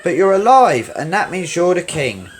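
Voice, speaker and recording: A man voicing an excitable young lioness in a storybook, speaking in emphatic exclamations. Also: high voice, moderate pace, some chatter behind, a little hiss or hum, voice pushed up. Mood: astonished. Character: young lioness, excitable loyal old friend.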